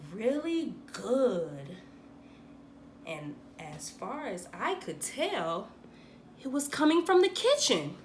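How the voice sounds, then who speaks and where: A woman reading a children's picture book aloud as a teacher, animated and with dramatic emphasis for young listeners. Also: sits high. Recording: roomy echo, faint background noise.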